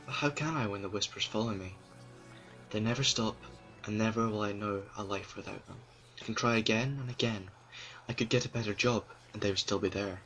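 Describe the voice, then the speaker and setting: A man's voice: fairly low pitch, brisk, a bit bright, rough recording. A man voicing an anxious twenty-one-year-old woman who hears whispers, talking to herself in a fan audio drama. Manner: anxious, pleading monologue to herself. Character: troubled young woman, 21, hearing whispers. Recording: music playing behind.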